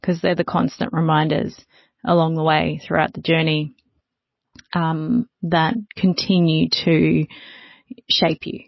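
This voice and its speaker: Thoughtful woman facilitator with a creaky voice. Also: low-pitched, little pitch movement. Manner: thoughtful, pausing, paraphrases the speakers. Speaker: discussion facilitator. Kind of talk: reflective, unscripted facilitation. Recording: dull, slightly roomy audio.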